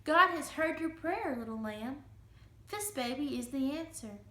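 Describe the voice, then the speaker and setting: A gentle young mother in a children's story, as a woman reader plays her. She speaks in a high, tender, reverent voice, set in a roomy echo. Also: animated, a little slow, exaggerated voice. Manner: soft, tender. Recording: roomy, echoing room sound.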